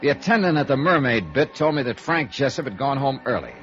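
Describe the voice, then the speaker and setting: An actor playing a wry, hard-boiled insurance investigator in a 1950s radio noir, with clipped, dry, probing delivery and first-person narration. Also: male voice, medium pitch, big pitch swings, brisk, a bit bright. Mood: dry, matter-of-fact. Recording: dull vintage radio recording with music.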